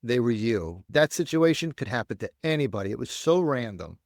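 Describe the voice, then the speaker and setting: A man's voice: medium pitch, very animated, a little fast, gravelly, no echo, studio quality. A man with an American accent hosting a podcast interview, asking empathetic, reflective questions. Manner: empathetic, reflective follow-ups. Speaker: podcast host, nurse.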